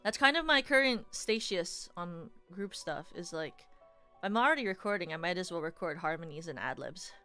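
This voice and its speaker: A woman's voice: fairly low pitch, animated, normal speed, bright, forceful tone, faint music behind. A young adult woman streamer in casual, rambling livestream chat, playfully teasing her viewers.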